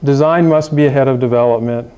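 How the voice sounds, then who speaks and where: A man's voice: mid-range voice, fast, dark tone, clean tone. A man giving a conference talk on design to an audience, emphatic and urging, with some fillers. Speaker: conference speaker. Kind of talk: conference presentation. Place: conference room.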